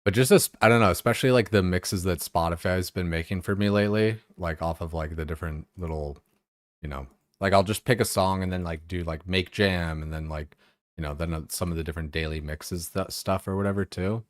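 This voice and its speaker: A man's voice: low-pitched, brisk, no echo, studio quality. A young man streaming a game, chatting casually in a rambling way, full of fillers and swearing. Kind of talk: casual chat while playing.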